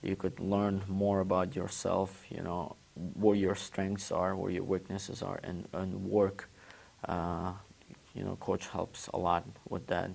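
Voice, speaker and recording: A young man from Uzbekistan whose first language is Turkish, answering interview questions in a halting way and often repeating words as he searches for phrasing. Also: low-pitched, monotone, vocal fry, a little mellow. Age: 20s. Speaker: guest, former student athlete.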